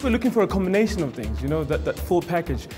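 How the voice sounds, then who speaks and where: A man's voice: fairly high pitch, very animated, quick pace, darkish tone, faint music behind. A man giving an interview as a judge, informally listing what he looks for. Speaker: festival adjudicator.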